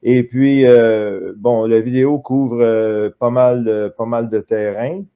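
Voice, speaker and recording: A man with a Quebec French accent, a guest in a live online interview, explaining history in a measured, lecturing way with frequent hesitations over a video call. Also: mid-range voice, steady tone, clear, resonant tone, highs cut off.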